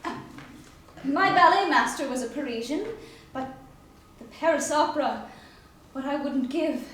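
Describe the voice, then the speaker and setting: A woman's voice: high-pitched, animated, measured pace, fairly bright tone. A woman playing a well-bred, breezy young heiress and ballerina in a stage musical comedy, politely arch. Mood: poised, breezy. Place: live staged reading before audience. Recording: echoey stage, distant mic, faint noise.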